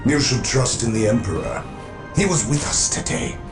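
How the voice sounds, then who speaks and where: A man playing a pious, fierce space warrior chaplain in a game cutscene, with a booming, oratorical manner. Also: huge pitch swings, moderate pace, gravelly, music in the background. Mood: solemn. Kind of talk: scripted game cutscene acting.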